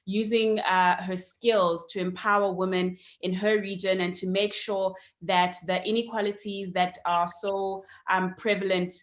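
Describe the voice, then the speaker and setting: A woman's voice: mid-range voice, level tone, a little slow, hardly any pauses. A woman, hosting an online interview and welcoming her guest, with frequent ums along the way. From South Africa. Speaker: host.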